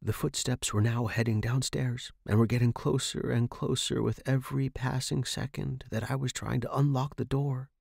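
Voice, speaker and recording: A man's voice: mid-range voice, vocal fry, darkish tone, dry recording, studio quality. A man reading scripted first-person suspense fiction as a podcast narrator.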